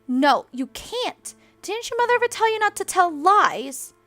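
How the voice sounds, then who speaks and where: An indignant young maid, as a woman reading aloud puts her on, protests in a bright, lifted voice, proud and stung. Faint music sits under the reading. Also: very high voice, very animated, unhurried, no room sound, pitched above the performer's own voice, heavily acted.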